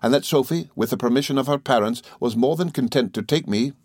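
A man acts out an earnest young gentleman of the law from a classic novel. He confides cheerfully and modestly, with polite hesitations and little stammers. The medium-pitched voice sits in a dry, roomless recording. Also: quick pace, no room sound, lightly acted. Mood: earnest, rambling. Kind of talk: audiobook character dialogue.

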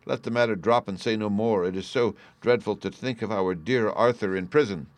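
A man voicing an earnest, polite young gentlewoman in a storybook, pleading with emphasis. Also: on the low side, brisk, no echo, close to an everyday voice.

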